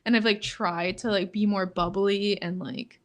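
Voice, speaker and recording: A young woman in her twenties co-hosting a podcast in casual chat, talking in a flat monotone and filling nearly every phrase with "like". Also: normal speed. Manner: says "like" constantly, flat and low-key, laughs often. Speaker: podcast co-host.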